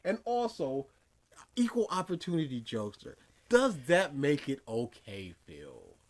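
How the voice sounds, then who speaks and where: A man co-hosting a casual commentary podcast, talking sarcastically and slipping into quick mimicry of the person he is mocking. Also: fairly high pitch. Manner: animated, sarcastic, mimics others mid-sentence. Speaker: podcast co-host.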